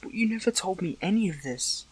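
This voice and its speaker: A man's voice: on the high side, little pitch movement, pushed and bright, husky, full character voice, poor audio. Man acting tense, earnest captive hero. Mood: shocked, hurt. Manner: halting, pleading, breaks and repeats phrases. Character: captive male superhero, earnest, hurt, questioning an old friend. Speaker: voice actor in an audio roleplay. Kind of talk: dramatic audio roleplay acting, one side of a dialogue.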